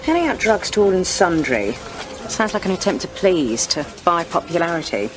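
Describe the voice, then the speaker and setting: An actress as a British junior woman detective in an audio crime drama. She is sharp and brisk, with a swooping, lively pitch over music. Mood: pointed, brisk.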